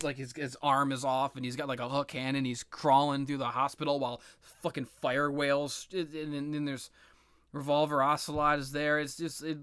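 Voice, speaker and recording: A man with a slightly high voice rants off the cuff about video games. He is blunt and exasperated and swears freely. The sound is dry and close, with no room echo. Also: a bit bright, clean tone. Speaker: video game commentator. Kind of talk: unscripted opinionated rant about video games.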